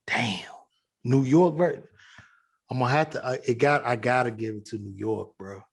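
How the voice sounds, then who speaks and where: Loose-talking Chicago comedian, cursing casually. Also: male voice, mid-range voice, moderate pace, husky. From South Side of Chicago. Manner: loose, profane, lots of fillers and restarts. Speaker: guest comedian. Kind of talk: casual podcast chat.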